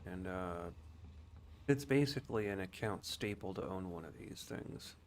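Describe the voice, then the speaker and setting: A low-pitched man commentates on his game in a casual, rambling style. He explains things without hurry, as a streamer would, with faint noise in the background. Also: expressive, measured pace.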